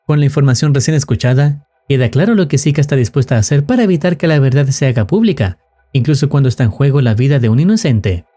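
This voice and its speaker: A man's voice: mid-range voice, animated, fast talker, dark tone. A man delivering formal, dramatic scripted narration that recaps an analog horror story.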